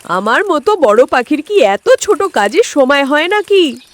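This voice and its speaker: Lady doing a jealous sneaky crow for a kids cartoon, arrogant, fake sweet, really animated high voice. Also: unhurried. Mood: indignant. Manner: sly, gloating, falsely friendly. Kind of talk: cartoon character voice in a moral tale.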